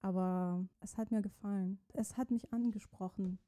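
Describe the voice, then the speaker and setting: Young woman from Iran, native Persian speaker, very dark timbre, halting interview answers. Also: medium pitch, fairly even intonation. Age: young adult. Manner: searching for words, many restarts. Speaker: interview guest, student writer.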